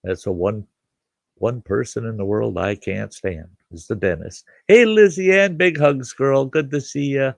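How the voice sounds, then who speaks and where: A slow-talking older man hosts a casual live chat, sounding congested and chuckling as he rambles. His voice is very low and gravelly, recorded close and dry. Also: dry recording. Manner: relaxed, rambling, chuckles often, greets viewers by name. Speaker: livestream host.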